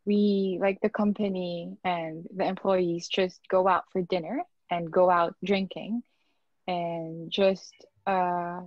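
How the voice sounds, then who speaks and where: Lower woman, dry dull sound. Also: slow, no room sound. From Philippines. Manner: relaxed, hesitant with fillers and likes. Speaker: panelist, digital marketing specialist. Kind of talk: casual panel discussion.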